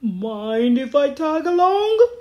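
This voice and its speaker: A woman voicing a sensible male ape neighbor in a children's storybook, measured and matter-of-fact with mild curiosity. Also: sits high, big pitch swings, warm tone, dull audio, slight background noise, voice pushed up, exaggerated voice. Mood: calm, curious.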